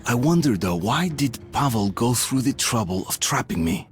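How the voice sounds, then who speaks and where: A man's voice: medium pitch, animated, normal speed, soft background music, lightly acted. An actor playing a brooding captive soldier in a video game, narrating his bitter thoughts as an inner monologue. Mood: bitter, reflective.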